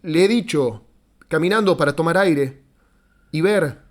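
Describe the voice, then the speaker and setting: A man's voice: on the high side, measured pace, voice pushed up, lightly acted. A meek, solitary writer in an audio story played by a male narrator. His voice is lifted above ordinary speech as he gives simple, polite, hesitant answers to authority. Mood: polite, uneasy.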